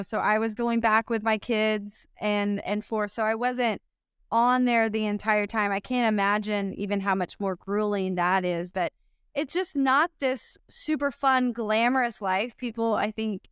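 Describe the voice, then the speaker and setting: A woman in her thirties from Oklahoma, a pro disc golfer, answering interview questions in an upbeat, chatty way with frequent ums and quick laughs. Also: mid-range voice, steady tone, a bit bright, smooth, no room sound, studio quality.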